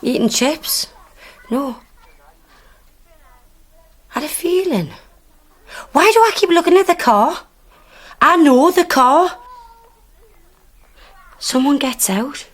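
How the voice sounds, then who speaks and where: Hesitant young woman witness, high voice, slow halting speech, lively pitch. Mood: dreamy recall. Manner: halting, dreamy recall. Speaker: witness under recall questioning. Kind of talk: radio drama acting.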